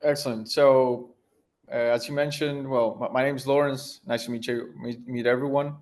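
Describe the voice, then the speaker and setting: A man's voice: medium pitch, steady tone, moderate pace, darkish tone. Thoughtful man in his thirties. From Argentina. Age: 30s. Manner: thoughtful, searching for words as he goes. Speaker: guest, tech founder. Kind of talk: podcast interview answers.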